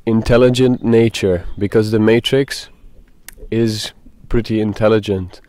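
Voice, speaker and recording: A man leading a guided meditation, speaking in a deliberate, halting way with pauses. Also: lowish voice, fairly even intonation, warm tone, dry recording, studio quality.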